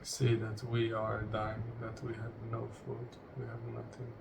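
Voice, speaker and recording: Very slow, gravelly man guiding meditation. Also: mid-range voice, completely flat delivery. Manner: solemn, deliberate, in short broken phrases. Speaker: meditation guide. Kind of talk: guided meditation, spoken slowly and reflectively. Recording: distant mic with clear background noise.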